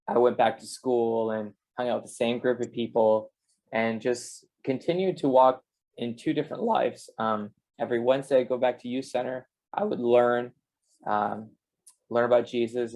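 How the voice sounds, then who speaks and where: A male interview guest shares his personal story in a casual, conversational way. His pitch stays fairly flat and even throughout. He sounds like someone talking informally about his own life. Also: moderate pace. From Canada and the United States.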